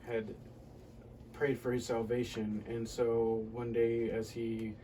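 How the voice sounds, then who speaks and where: A man from the United States answering interview questions casually and reflectively, often pausing mid-sentence to find his words. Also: medium pitch, monotone, mellow, distant mic, a little hiss or hum, low-quality recording. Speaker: podcast guest.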